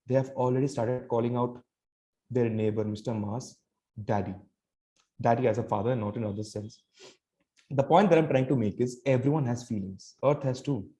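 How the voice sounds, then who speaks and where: An Indian-accented man tells a comic tale as a contestant in a storytelling competition. His voice is relaxed and mellow, at an ordinary male pitch, in a stand-up style.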